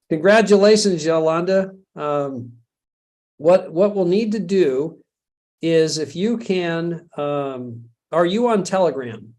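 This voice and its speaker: A man's voice: fairly high pitch, slow, a little mellow. A middle-aged American man hosting a live webinar in a casual, chatty way, joking at his own expense and chuckling often. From United States. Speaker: webinar host.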